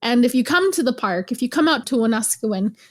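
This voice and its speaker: A young woman from Canada, a guest in an interview, telling stories in a lively, teasing way and laughing often. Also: a little high, brisk. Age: young adult. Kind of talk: casual interview.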